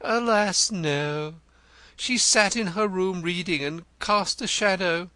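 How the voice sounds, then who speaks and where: A man's voice: high-pitched, takes it slowly, bright, forceful tone, dry recording, pitched above the performer's own voice, exaggerated voice. A man voicing a vain, theatrical male poet in an audiobook, florid and gushing, stammering when pressed. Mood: effusive. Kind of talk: audiobook character reading.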